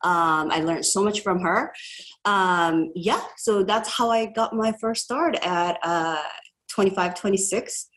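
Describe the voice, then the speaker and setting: A woman's voice: medium pitch, slow, fairly bright tone. A woman, speaking as a guest in a casual podcast interview, chatty and rambling with frequent fillers and laughter. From South Korea. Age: 40s. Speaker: guest entrepreneur.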